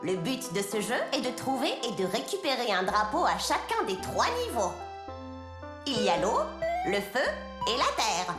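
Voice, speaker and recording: A cheerful cartoon cat explains a virtual game like a presenter. The voice is fairly high and animated, sitting in a roomy echo with music behind it. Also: female voice, moderate pace. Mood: bright, instructive. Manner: clear, instructive, presenter-like. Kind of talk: scripted cartoon game instructions.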